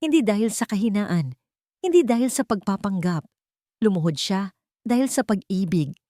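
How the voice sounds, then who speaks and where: A woman narrating a scripted romance story in a sentimental, deliberate way. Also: medium pitch, expressive, dark tone, dry recording, studio quality.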